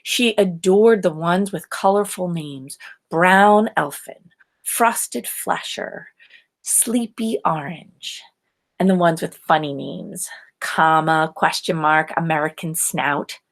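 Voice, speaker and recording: A woman narrates a children's picture book with lively, expressive pitch. Her pace is slow and deliberate, leaning on key words, and her voice has some gravelly creak. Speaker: storybook reader.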